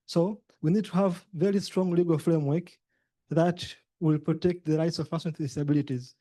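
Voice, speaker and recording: A man's voice: on the high side, fairly even intonation, normal speed, warm tone, no room sound. A young man from Tanzania with a Tanzanian accent, a native Swahili speaker, giving a talk with frequent hesitations and restarts. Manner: hesitant, many fillers and restarts. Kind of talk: presentation talk.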